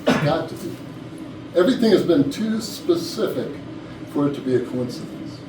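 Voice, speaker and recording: A man's voice: a little high, lively intonation, moderate pace, natural voice. A man playing an anxious, bossy and suspicious company boss near retirement in a staged reading of a comedy. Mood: indignant. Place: rehearsal room. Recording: noisy echoey room, distant mic.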